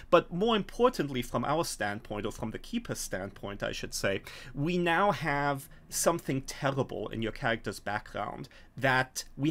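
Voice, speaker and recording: A middle-aged man explaining and narrating a tabletop game as game master, phrasing things in a hesitant, measured way. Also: mid-range voice, fairly bright tone. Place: small home room, video call. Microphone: video call from a desk.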